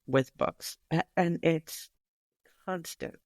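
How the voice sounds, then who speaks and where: A woman's voice: sits low, takes it slowly, darkish tone, no echo, studio quality. A woman co-hosting a podcast in casual, conversational chat. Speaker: podcast co-host, bookseller.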